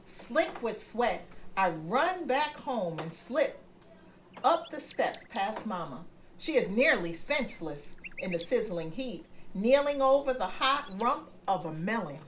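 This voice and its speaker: A woman reading a picture book aloud as a bedtime story for children, speaking gently. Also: fairly high pitch, big pitch swings, slow, a little hiss or hum. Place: home room with plants on the table.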